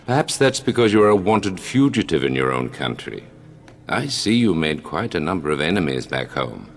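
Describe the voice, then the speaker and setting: A suave English spymaster with a very deep voice and lively, expressive intonation. He is dry, condescending and menacing, a video game villain-mentor heard in long reverb. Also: normal speed, exaggerated voice. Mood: dryly mocking. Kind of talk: dramatic video game acting.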